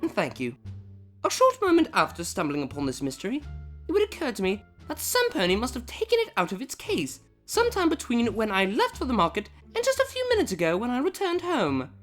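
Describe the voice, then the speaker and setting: A woman as a high-voiced, prim pony cellist. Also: wildly swooping pitch, brisk, soft background music. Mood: icy composure. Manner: formal, precise, drily menacing. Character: prim, menacingly polite female pony cellist. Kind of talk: scripted comedy fan audio drama.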